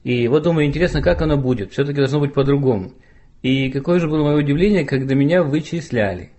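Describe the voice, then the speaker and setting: A man hosting a podcast from abroad, chatting in a relaxed, teasing way with a remote guest and asking questions. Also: medium pitch, fast. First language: Russian. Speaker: podcast host. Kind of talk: casual podcast conversation. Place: home studio, remote call.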